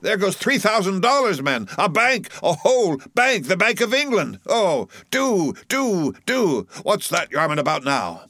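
A man voicing an excitable, greedy, cruel whaleboat mate in an audiobook, pleading frantically in repeated exclamations. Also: medium pitch, unhurried, hardly any pauses, dry recording, studio quality. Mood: frantic pleading. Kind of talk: audiobook storybook character voice.

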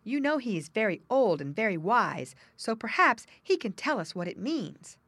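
A woman's voice: on the high side, sing-song, normal speed, fairly bright tone, dry recording, voice pushed up, heavily acted. A woman voicing a puzzled male muskrat in a storybook, earnest and a little fretful.

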